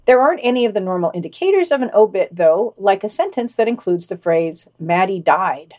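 A woman with a medium-pitched voice presents a scripted news roundup as a podcast host. She reads summaries and quotations, adding commentary in a dry, wry manner. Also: animated, a little fast, a little mellow.

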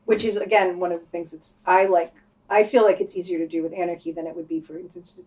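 Low-pitched woman in casual discussion. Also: fairly even intonation, fast, darkish tone, low-quality recording. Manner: opinionated, informal, frequent swearing. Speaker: podcast panelist.

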